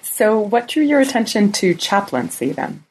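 A woman's voice: medium pitch, very animated, normal speed, a little mellow, breathy edge, poor audio. A woman co-hosting an academic podcast, reading a scripted introduction and then chatting with her guest. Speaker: podcast co-host. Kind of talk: podcast interview.

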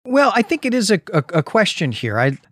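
A man's voice: high-pitched, wildly swooping pitch, fairly quick, a bit bright, no room sound. Man hosting a thoughtful podcast, dry studio sound. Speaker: podcast host. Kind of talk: literary discussion with retelling and reading aloud.

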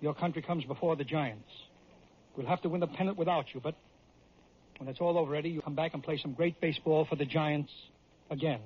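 A man with an American accent playing a tough, fiery baseball manager, blunt and forceful, in a radio story. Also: on the high side, steady tone, fairly quick, slightly rough, pitched below the performer's own voice. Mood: gruff remorse. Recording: dull vintage radio recording.

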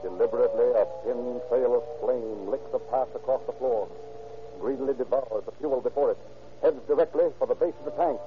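Male announcer-narrator for a vintage crime drama, measured and dramatic, with music behind him. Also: mid-range voice. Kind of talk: 1930s radio drama narration. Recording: tinny 1930s radio recording.

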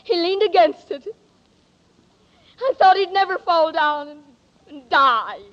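A hushed young woman in a 1940s radio drama, speaking softly and tenderly. Her voice sits very high. The old broadcast sound is dull and lo-fi. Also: slow pace, exaggerated voice. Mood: confessing. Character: quiet young woman with a deadly secret, Ruth.

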